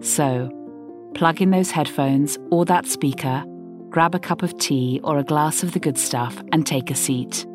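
Woman, extremely deep voice, slow scripted intro, music clearly underneath. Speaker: podcast intro narrator. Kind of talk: scripted narration.

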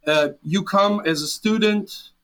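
A man in his forties with a Serbian accent, his voice sitting a little higher than most men's. He answers interview questions earnestly, often restarting his sentences and padding them with fillers. English is his second language. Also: unhurried, fairly bright tone. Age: 40s. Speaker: guest. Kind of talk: interview conversation.

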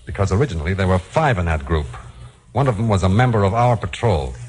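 Grave, low-voiced American patrol officer. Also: male voice, expressive, quick pace, a little mellow. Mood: grave, explaining. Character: earnest, grave male Border Patrol officer. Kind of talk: 1950s radio drama acting.

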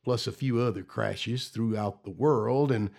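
An actor playing a steady, loyal general in charge of a secret project, gravelly, in an audio drama. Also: male voice, medium pitch, unhurried. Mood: assured.

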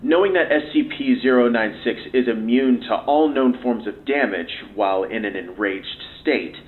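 An actor playing a cool official investigator who questions staff in a formal, probing, dry manner. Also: male voice, medium pitch, normal speed, close to an everyday voice. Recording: tinny narrow-band interview recording.

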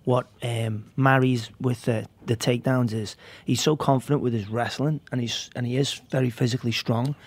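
A man guesting on a podcast, giving modest, measured views on a fight and laughing easily. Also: mid-range voice, fairly even intonation. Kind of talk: casual chat.

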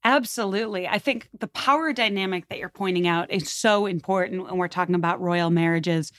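A woman's voice: on the low side, a little fast. A woman podcaster talking in a casual, conversational way. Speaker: podcast host and guest.

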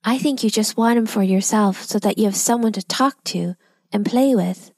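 A woman voicing a brave, kind young girl who talks politely and calmly. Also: medium pitch, normal speed, no echo, close to an everyday voice. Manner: polite and calm.

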